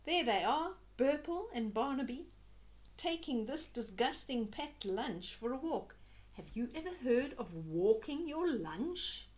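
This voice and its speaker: Slow, lively older woman storyteller. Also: high-pitched. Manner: expressive, chatty asides to young listeners. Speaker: children's storyteller. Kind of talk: read-aloud storytelling with asides.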